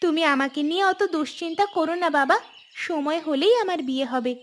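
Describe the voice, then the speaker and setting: High-pitched earnest young cartoon daughter, acted by a woman. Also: a little fast, a little hiss or hum, natural voice. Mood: reassuring, firm. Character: caring young unmarried daughter, practical and reassuring. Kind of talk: cartoon dialogue.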